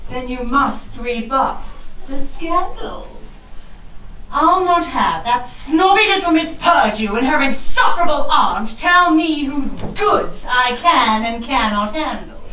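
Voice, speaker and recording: A woman's voice: high-pitched, lively intonation, slow pace, sharp tone, heavily acted. A woman playing a scheming, vengeful rich widow in a rhyming costume drama, with an arch, grandiose and condescending manner. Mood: imperious scheming. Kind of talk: theatrical rhyming costume-drama stage acting. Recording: roomy, distant microphone.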